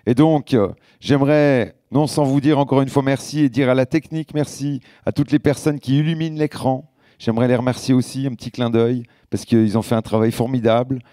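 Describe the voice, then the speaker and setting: Guy, hosting an award night on stage, mellow and dry sound. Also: mid-range voice, fairly even intonation, fairly quick, no room sound. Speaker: festival director presenting awards. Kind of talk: live stage address at an award ceremony.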